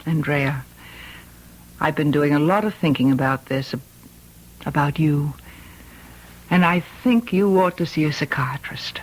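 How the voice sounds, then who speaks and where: An actress playing a composed, solicitous woman in an old-time radio mystery, with measured, careful delivery. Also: low voice, poor audio.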